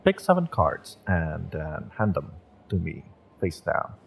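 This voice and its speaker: A man playing a gentle, encouraging magick shop owner and tarot reader in an intimate audio roleplay, speaking in a measured, mystical, reassuring way. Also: sits low, sing-song, gravelly, slight background noise, full character voice. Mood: calm, guiding.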